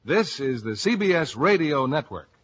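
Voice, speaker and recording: A man's voice: a little high, big pitch swings, normal speed, husky. Man announcing an old radio ad. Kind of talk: 1950s radio commercial announcing. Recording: dull 1950s radio broadcast.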